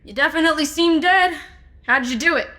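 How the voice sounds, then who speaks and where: A woman playing a brisk, level-headed, matter-of-fact amateur investigator in a comedy audio drama. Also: sits high, bright, forceful tone. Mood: demanding.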